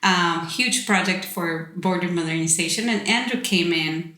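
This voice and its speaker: A woman's voice: on the low side, moderate pace, pushed and bright, echoey room. A woman from Costa Rica, a podcast guest, talking candidly and laughing easily. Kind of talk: casual interview chat.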